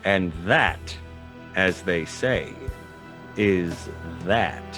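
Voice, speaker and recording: Low-voiced, wry hard-boiled detective. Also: male voice, extremely slow, over music. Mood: satisfied, wry. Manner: dry, sardonic. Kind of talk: scripted noir radio drama narration.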